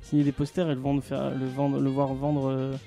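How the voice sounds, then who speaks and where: A man's voice: medium pitch, monotone, normal speed, creaky, dark tone, soft background music. A man hosting a casual podcast discussion, hesitant with many fillers, handing questions to guests. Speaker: podcast host. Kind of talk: casual chat.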